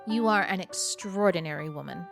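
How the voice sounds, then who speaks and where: A woman playing a stern, no-nonsense spy chief in a comedic audio drama, curt and deadpan. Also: lowish voice, normal speed, vocal fry, over music.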